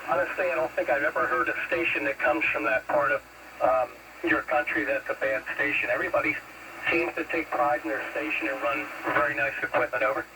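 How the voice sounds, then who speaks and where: Gravelly American man over noisy CB radio. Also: fairly high pitch, a little fast. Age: 50s. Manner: folksy, complimentary, formal radio phrasing. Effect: CB radio transmission. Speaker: CB radio operator. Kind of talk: casual two-way radio chat. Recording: noisy CB radio signal, distant.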